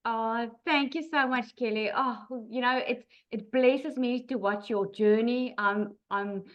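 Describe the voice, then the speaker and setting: Effusive South African woman, higher-pitched. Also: fairly even intonation, a little slow, highs cut off. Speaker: host of an online group session. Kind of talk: informal hosting of testimonials.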